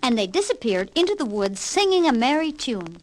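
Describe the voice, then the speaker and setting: A woman reading a scripted children's read-along story with expressive, emphatic delivery, on an old vinyl record. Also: sits high, a little fast. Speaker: storybook narrator. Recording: 1960s vinyl record with hiss and pops.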